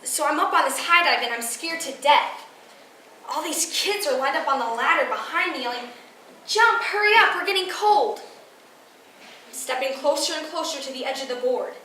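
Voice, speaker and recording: A girl acting a high-voiced, vulnerable teenage girl. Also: a little fast, sharp tone. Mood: anxious, wistful. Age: young teenager. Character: frustrated, vulnerable teenage girl confiding in her friend. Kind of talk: stage monologue performance. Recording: roomy, distant, noisy live recording.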